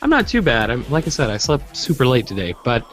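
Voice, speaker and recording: A man hosting a podcast in casual chat, his voice hoarse, upbeat and joking. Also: medium pitch, huge pitch swings, a little fast, a bit bright, faint music behind. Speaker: podcast host.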